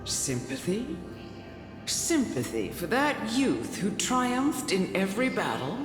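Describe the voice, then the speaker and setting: A woman playing a composed, gentle, enigmatic adult in a fantasy game, talking in a calm, deliberate, probing way. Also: mid-range voice. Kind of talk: scripted fantasy game voice acting. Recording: echoey hall, music behind.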